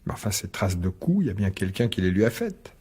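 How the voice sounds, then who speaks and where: A man's voice: low voice, big pitch swings, quick pace, warm tone, breathy edge, heavily acted. An actor playing an agitated, sarcastic middle-aged divorced father in a French radio drama, biting and insistent. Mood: worried, subdued. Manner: biting, insistent, repeats himself.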